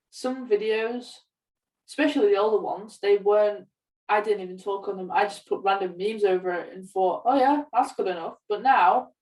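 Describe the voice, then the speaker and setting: A woman's voice: medium pitch, darkish tone. A woman co-hosting a podcast in a casual, conversational style. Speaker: podcast co-host, gaming video creator. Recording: echoey room, distant from mic.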